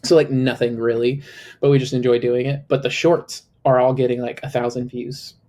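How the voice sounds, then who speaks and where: A man co-hosting a casual podcast chat, talking in a loose, rambling way full of fillers, with a slightly high, a little nasal voice. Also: level tone, moderate pace, dark tone. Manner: chatty, filler-heavy, lots of "like". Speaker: co-host.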